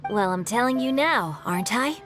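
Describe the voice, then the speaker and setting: Game role: earnest, well-read teen boy female actor, lightly high voice, articulate, mild, faint music underneath. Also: expressive, normal speed, natural voice. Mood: sheepish, earnest. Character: polite, bookish teenage boy, earnest and evasive. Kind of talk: video game character dialogue.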